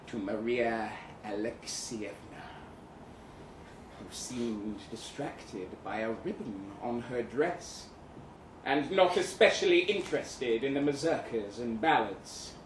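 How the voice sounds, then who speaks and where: A man giving an expressive, arch, theatrical reading of a first-person short story at a live event. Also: mid-range voice, slow pace. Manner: expressive, wry, arch delivery. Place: indoor live reading event before an audience. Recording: echoey live room, audible noise.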